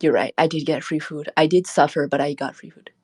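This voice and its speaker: A woman's voice: low voice, fairly even intonation, a little fast, slightly rough, dry recording, clean studio sound. A woman streamer chatting casually and rambling, laughing often as she talks. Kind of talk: casual late-night stream chat.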